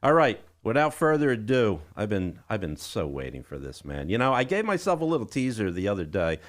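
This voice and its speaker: A man's voice: fairly low pitch, huge pitch swings, a little fast. A man hosting a live radio show in a chatty, folksy way, speaking directly to listeners from a studio. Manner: folksy, addresses listeners as guys. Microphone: studio broadcast microphone.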